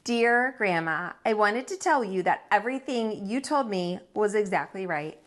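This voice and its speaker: An earnest young schoolgirl from a picture book reads out her letter slowly, voiced by a woman in her own voice. The pitch sits mid-height but swings widely. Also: animated, a bit bright, close to an everyday voice.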